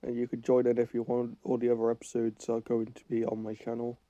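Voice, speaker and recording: Man, head cold, fading voice, very dark tone, rambling game commentary. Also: medium pitch, completely flat delivery, quick pace, no echo, highs cut off. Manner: rambling, self-correcting, thinks aloud while playing. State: has a cold, losing his voice. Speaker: gaming channel host. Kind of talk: casual gameplay commentary.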